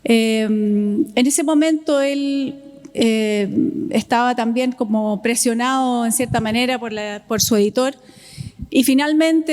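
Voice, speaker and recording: Higher-voiced woman presenter in echoing hall. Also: a little mellow. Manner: reads with frequent fillers and small restarts. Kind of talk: introducing a speaker at a festival. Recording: long reverb in a large hall.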